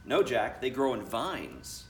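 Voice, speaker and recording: A man's voice: medium pitch, sing-song, slow. A man voicing an earnest, inquisitive young girl in a children's picture book read-aloud. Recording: roomy, distant mic, faint noise.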